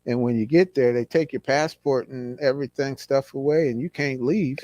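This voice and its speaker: A man's voice: medium pitch, fairly even intonation, normal speed, a little mellow, no echo. A man in his sixties, from California, reminiscing as an interview guest in a rambling way, with many restarts. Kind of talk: casual conversation.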